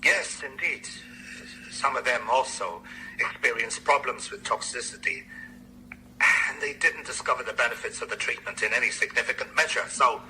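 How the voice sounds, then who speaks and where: An actor playing a grave, dejected medical researcher in a radio drama, halting and formal in his phrasing. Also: medium pitch, pushed and bright, slight background noise, poor audio. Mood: dejected, apologetic.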